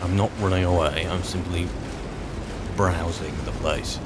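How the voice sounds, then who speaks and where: A lowish-pitched man voicing a male adventurer in a fantasy game roleplay. He muses to himself hesitantly, with wry politeness and a grumbling streak. Noisy game ambience sits clearly behind the voice. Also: steady tone, unhurried. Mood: curious, wry. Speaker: roleplaying game player and narrator. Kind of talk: in-character improvised gameplay commentary.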